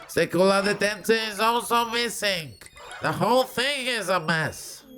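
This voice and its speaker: Man as officious police chief, audio drama, sharp forceful voice, slow delivery, condescending. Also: high-pitched, animated, full character voice.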